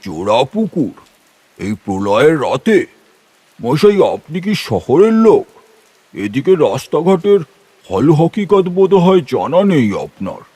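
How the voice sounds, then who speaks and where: An actor voices an elderly male station master in a horror audio drama, wary and admonishing. His delivery swings widely in pitch, close and dry, with rain falling behind him. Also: big pitch swings, slow pace, mellow, breathy edge, no echo, full character voice. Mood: alarmed, questioning. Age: older. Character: wary old railway station master, firmly cautioning a stranger. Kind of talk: audio horror story acting.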